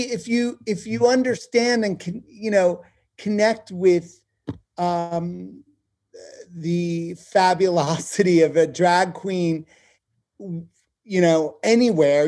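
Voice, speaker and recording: Male interviewee, TV producer. Soft-edged mellow tone, emphatic and conversational. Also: sits high, fairly even intonation, slow pace. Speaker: television producer being interviewed.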